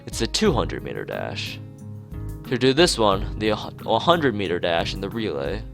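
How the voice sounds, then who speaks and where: A man's voice: fairly low pitch, wildly swooping pitch, measured pace, vocal fry, full character voice. A man voicing a proud, chatty mother of a young runner in a visual novel read-aloud. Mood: explaining. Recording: music behind the voice.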